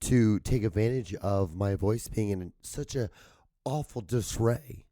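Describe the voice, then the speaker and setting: A man hosting his own podcast with a hoarse voice that cracks and gives out. He rambles casually, swears often, and holds back his volume to spare his throat. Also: on the low side, unhurried, very noisy. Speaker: podcast host.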